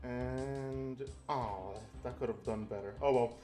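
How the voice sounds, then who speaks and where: A man with a mid-range voice plays a tabletop game, rambling playfully as he thinks out loud. His chat is casual, and faint music plays underneath. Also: mid-range voice, slow.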